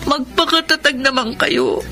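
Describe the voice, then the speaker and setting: A woman's voice: high-pitched, unhurried, music in the background. An actress playing an indignant, worried grown child pleading with a father, insistent, in a Tagalog radio drama. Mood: pleading, hurt.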